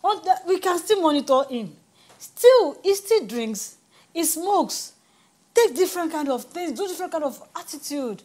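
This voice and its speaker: A woman's voice: very high voice, animated, normal speed, a little mellow. A woman playing a tearful praying Nigerian mother. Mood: worried pleading. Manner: fervent tearful prayer in tongues. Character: devout anxious mother praying for son. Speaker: mother of a wayward son. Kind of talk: Nollywood film acting.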